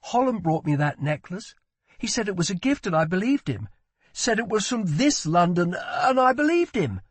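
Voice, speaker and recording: A man acts out a wounded young prince in an audiobook, his voice lifted high and swinging widely. He is petulant, demanding and theatrical, with playfulness over guilt. The recording is dry and close. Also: very animated, normal speed, breathy edge, no room sound, exaggerated voice. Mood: remorseful. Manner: scornful, dramatic, demanding.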